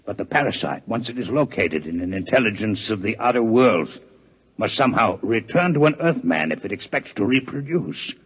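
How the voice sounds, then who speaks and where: An actor playing a grave, ailing alien doctor who explains coolly in 1950s radio drama style. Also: male voice, lowish voice, lively intonation, moderate pace, husky, rough recording. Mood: grave, explanatory. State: weakened, ill. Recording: old 1950s radio recording.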